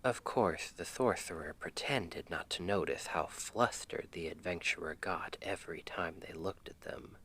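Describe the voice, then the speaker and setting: A man playing a tender, playful boyfriend in an ASMR roleplay, speaking in a gentle, intimate half-whisper to soothe his partner to sleep. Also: low-pitched, moderate pace, few pauses, slight background noise. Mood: soft, playful storytelling. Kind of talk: ASMR roleplay, soft bedtime storytelling.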